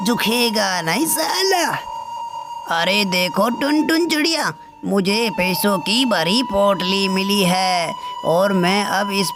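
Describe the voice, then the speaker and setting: A woman voicing a boastful, gloating male crow in an animated moral story. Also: mid-range voice. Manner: gloating, swaggering. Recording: music behind the voice.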